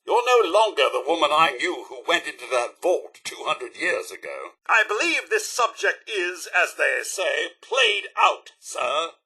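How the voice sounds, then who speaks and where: An actor voicing a prim British robot butler in a video game, formally polite with a fussy, disdainful edge. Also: male voice, fairly high pitch, sing-song, a little slow, hardly any pauses, sharp tone, breathy edge, exaggerated voice. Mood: coldly dismissive. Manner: formal, fussy, clipped courtesy with icy disdain. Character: prim robot butler, polite but sniffy male servant. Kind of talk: video game companion dialogue.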